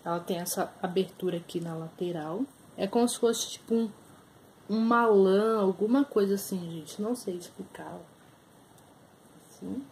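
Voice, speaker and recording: A woman's voice: medium pitch, normal speed, a little mellow, slight background noise, rough recording. A young Brazilian woman with a Brazilian Portuguese accent, chatting casually to camera in a small room as she shows her thrift finds. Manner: chatty, shows items up close, many fillers. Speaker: vlogger. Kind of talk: casual haul video chat.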